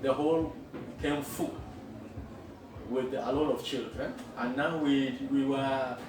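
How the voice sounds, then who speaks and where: A middle-aged man with a Kenyan accent, a school founder, telling his story in an interview in an earnest, unhurried way with frequent fillers. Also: medium pitch, steady tone. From western Kenya. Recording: echoey room, far from the mic, with noticeable background noise.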